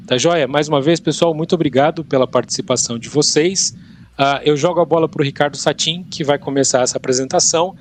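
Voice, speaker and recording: Relaxed Brazilian man hosting a webinar. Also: fairly high pitch. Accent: Brazilian Portuguese. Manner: relaxed, informal, asks listeners for quick okays. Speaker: webinar host. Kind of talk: hosting an online tech event.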